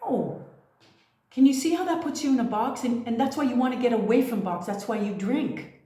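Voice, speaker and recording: A woman's voice: a little high, normal speed, warm tone. A woman giving an impassioned, profane monologue as a spiritual coach, firing off rhetorical questions and swearing freely. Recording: very echoey room.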